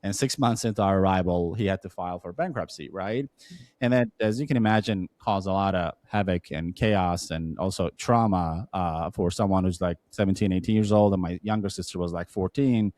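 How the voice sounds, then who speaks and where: A Turkish-accented man, not a native English speaker, with a fairly low voice, recorded dry and close. He tells his story as an interview guest, earnest and halting, with restarts and "uh" fillers along the way. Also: darkish tone, dry recording. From Turkey. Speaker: podcast guest, entrepreneur. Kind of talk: conversational storytelling.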